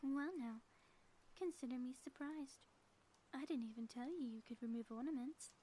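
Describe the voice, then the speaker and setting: A woman's voice: high voice, moderate pace, mellow, breathy edge, low-quality recording. A woman playing a theatrical ex-villain spouse in an audio roleplay, delivering grandiose, mock-menacing taunts in florid language. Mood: mocking surprise. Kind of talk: scripted audio roleplay monologue, ASMR.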